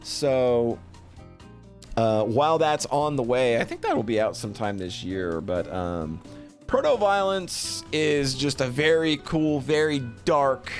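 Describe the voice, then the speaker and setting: Male streamer, medium pitch, casual halting commentary with repeated phrases, faint music bed. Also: expressive. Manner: halting, repeats and restarts phrases while thinking aloud. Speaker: streamer reviewing games. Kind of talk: unscripted spoken review, casual commentary.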